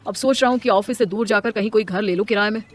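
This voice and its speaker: A man's voice: high voice, steady tone, fast talker, lightly acted. A man playing a weary, scheming young city husband in a story, talking in a conversational, reasoning way.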